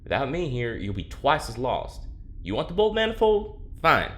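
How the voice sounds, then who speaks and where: A man's voice: medium pitch, wildly swooping pitch, normal speed, fairly bright tone. A man playing a flustered, defensive research assistant in an audio drama, hesitant and prone to stammering.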